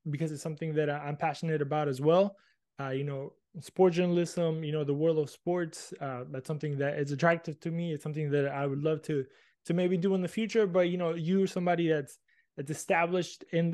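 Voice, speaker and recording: A man's voice: a little high, a little mellow. A man hosting an interview podcast, asking long rambling questions full of restarts and fillers. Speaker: podcast host.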